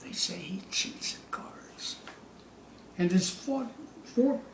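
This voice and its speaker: A man giving a theatrical poetry recital, dramatic and suspenseful, with drawn-out phrases moving between hushed dips and loud peaks. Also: high-pitched, expressive, vocal fry, whispered. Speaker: poetry reader. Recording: distant, echoey room with faint hiss.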